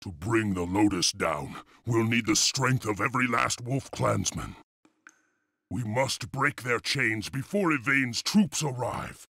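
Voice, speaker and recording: Husky, forceful clan leader. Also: male voice, mid-range voice, animated, unhurried, no echo, full character voice. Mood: commanding. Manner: resolute, commanding, terse. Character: defiant, commanding male leader of an enslaved fantasy clan. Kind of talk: dramatic video game cutscene acting.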